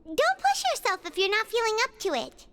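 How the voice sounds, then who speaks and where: Squeaky, tiny childlike fairy. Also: female voice, sing-song, brisk, fairly bright tone, a little hiss or hum, heavily acted. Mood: concerned. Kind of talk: video game voice acting.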